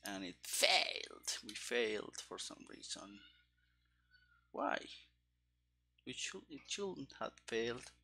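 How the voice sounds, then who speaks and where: A man narrates his live coding in a bright, creaky voice. He takes it slowly and haltingly, often muttering bits to himself as he works through each step. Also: mid-range voice, sing-song. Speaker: game developer streaming his coding. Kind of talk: live coding commentary, thinking aloud. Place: small room indoors. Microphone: headset.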